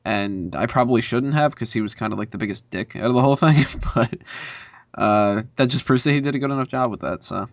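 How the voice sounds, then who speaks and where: A man's voice: medium pitch, fairly quick, dry recording, highs cut off. A man chatting casually and bluntly as a movie podcast panelist, giving his opinions.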